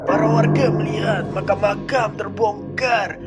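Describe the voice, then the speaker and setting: A man's voice: high-pitched, steady tone, unhurried, loud music behind the voice. A man voicing a wise village elder who explains and tells a legend in a scripted cartoon. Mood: solemn storytelling. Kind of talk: scripted cartoon narration and dialogue.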